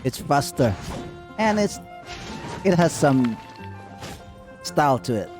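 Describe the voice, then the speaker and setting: A man casually commenting on a game he is playing, hesitant with many pauses and fillers. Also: mid-range voice, big pitch swings, music in the background. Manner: hesitant, lots of ums and uhs. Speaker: gamer.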